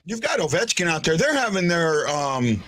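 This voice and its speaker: Husky, lively-voiced male podcast host. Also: fairly high pitch, moderate pace. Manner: hesitant, restarts sentences, asks "right?" often. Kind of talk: casual chat.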